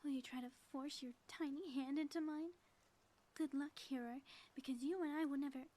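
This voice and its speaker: A woman playing a sly, theatrical supervillain inventor in an ASMR audio roleplay, speaking softly with pompous, taunting phrasing and often dropping toward a whisper. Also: high voice, steady tone, normal speed, lightly acted. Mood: mocking. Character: gloating female supervillain inventor, sly, theatrical, secretly smitten.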